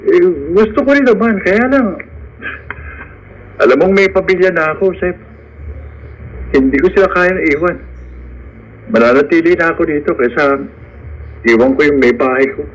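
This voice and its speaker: A man's voice: fairly high pitch, expressive, moderate pace, long pauses, slight background noise, close to an everyday voice, low-quality recording. A man playing a loyal, cautious young working man in a radio drama, reasoning in a concerned manner. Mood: worried, reasoning.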